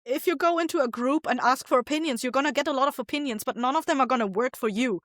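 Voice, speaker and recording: A woman's voice: high-pitched, quick pace, a bit bright, dry recording, studio quality. A woman chatting casually as a podcast guest, plain-spoken, informal and encouraging. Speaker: podcast guest, audio drama maker. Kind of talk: casual chat.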